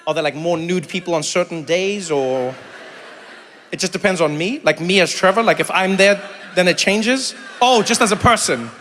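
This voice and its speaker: A middle-aged man from Africa, doing animated stand-up comedy and crowd work, breaking into shouted impressions and teasing banter. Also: sits high, moderate pace. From South Africa. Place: stage in a large theater before an audience. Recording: live theater with long hall reverb and faint room noise.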